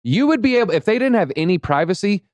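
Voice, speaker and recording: A man hosting a casual crypto market chat, playful and rambling, slightly hoarse. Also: high voice, sing-song, fast talker, darkish tone, dry recording. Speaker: host.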